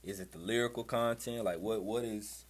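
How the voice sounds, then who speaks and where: A man's voice: fairly low pitch, steady tone, normal speed, a crowd in the background. A man hosting an interview, asking loose, rambling questions in casual slang and cheering his guest on.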